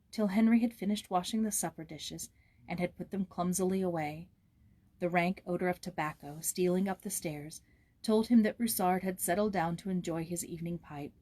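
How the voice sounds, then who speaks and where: A woman narrating a storybook aloud in a gentle, measured, expressive way. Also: on the low side, no echo.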